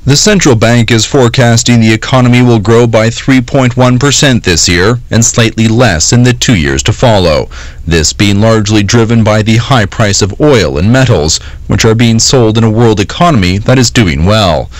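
Low-pitched Canadian male news reporter. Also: moderate pace, dry recording.

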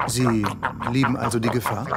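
An actor playing a suave, courteous and mysterious male visitor in a comedic sci-fi audio drama, flattering and presumptuous. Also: mid-range voice, moderate pace, a crowd in the background, lightly acted. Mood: probing. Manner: smooth-talking, courteous, presumptuous.

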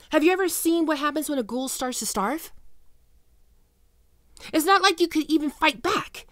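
Casting: woman to play anxious, soft-spoken ghoul girl best friend for intimate audio roleplay; higher-pitched, unhurried, halting, emotional delivery. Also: no echo, studio quality, heavily acted. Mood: anguished, pleading. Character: young female ghoul, guilt-ridden, tender, anxious best friend. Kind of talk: intimate ASMR roleplay acting.

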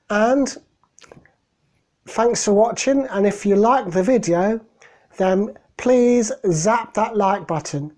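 A middle-aged man, hoarse from a cold, talking haltingly and emotionally into a webcam in a small room at home. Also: high voice, warm tone. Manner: halting, emotional, many restarts. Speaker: vlogger. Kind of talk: personal video diary. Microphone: webcam at desk.

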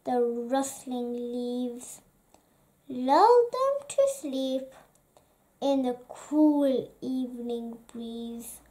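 Woman, children's story read-aloud, extremely unhurried, soft mellow tone, gentle phrasing. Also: sits high, animated, a little hiss or hum. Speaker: children's book reader.

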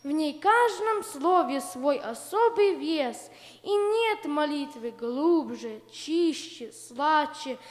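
A young woman reciting a devotional poem in a slow, measured way into a handheld mic in a church hall. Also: high-pitched, lively intonation. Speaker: poetry reciter. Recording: long church hall reverb.

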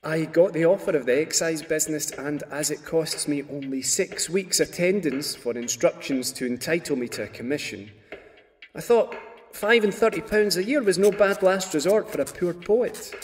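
A man's voice: a little high, moderate pace, natural voice. A man playing a hopeful eighteenth-century poet, reading his letters aloud in an earnest, literary way for a radio drama. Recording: long hall reverb, faint noise.

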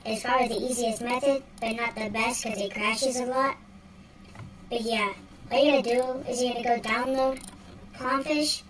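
A child or young teen giving a casual software tutorial in an offhand, slangy way. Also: medium pitch, fairly even intonation, normal speed, a crowd in the background. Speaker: tutorial presenter. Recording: distant mic with clear background noise.

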